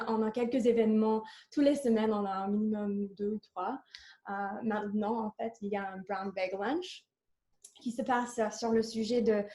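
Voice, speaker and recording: A woman's voice: on the high side, dark tone. A woman who is not a native French speaker, presenting her organization on a video call, hesitant, with many fillers and slips into English. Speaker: program lead at a coworking organization. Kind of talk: online panel presentation.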